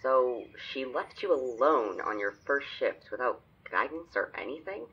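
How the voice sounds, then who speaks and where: An actor playing a worried, nagging young friend, rough-voiced and familiar, on a dull band-limited call. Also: mid-range voice, expressive, measured pace, a bit bright, full character voice. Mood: concerned. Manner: fretful, familiar. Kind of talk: audio drama acting.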